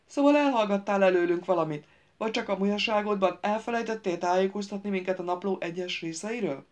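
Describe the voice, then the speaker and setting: A woman's voice: fairly low pitch, a little mellow, close to an everyday voice. A woman voicing a complaining, agitated young man who whines and accuses the others, in an audiobook. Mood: indignant whining. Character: whiny indignant young man, a rabble-rouser. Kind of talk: audiobook character voice.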